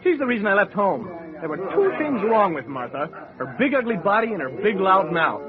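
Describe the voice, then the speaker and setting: An actor playing a short, cranky, cowardly small-time crook, wisecracking and sarcastic in a radio comedy thriller. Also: male voice, high voice, very animated, fairly quick, crowd noise. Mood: grumbling. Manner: sarcastic, grumbling. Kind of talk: old-time radio horror-comedy acting. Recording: thin, narrow radio sound with music.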